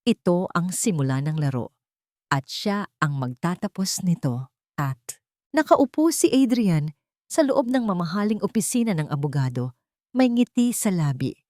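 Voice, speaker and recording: A woman narrates a scripted drama as a storyteller. Her voice sits on the low side, recorded close and dry. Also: very animated, a little slow, warm tone, dry recording.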